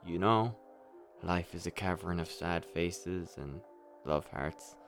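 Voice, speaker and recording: A man's voice: low voice, slow pace. A man acting a weary, hard-drinking, despairing superhero in a darkly comic radio play, brooding and rambling with a sardonic edge. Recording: music playing under the voice.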